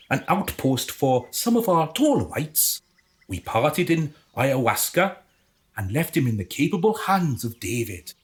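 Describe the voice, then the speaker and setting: A haughty alien passing as human, played by an actor, in a comic radio drama. The delivery is pompous and condescending, swinging widely in pitch through a middling register, and quick to exasperation.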